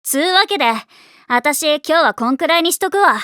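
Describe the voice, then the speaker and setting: A woman voicing a brash, cocky tomboyish teenage girl with rough, slangy, boastful speech in an anime. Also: high voice, expressive, brisk, sharp tone, no echo, clean studio sound. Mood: casual. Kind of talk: anime voice acting.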